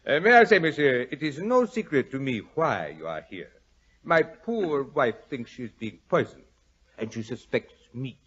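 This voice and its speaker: A courtly gentleman of old radio drama, slyly suave and effusive. His husky voice swings widely in pitch colors every flourish. The recording is dull and lo-fi. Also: sing-song, moderate pace. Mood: smooth, teasing. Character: suave French husband, effusive host, suspected poisoner.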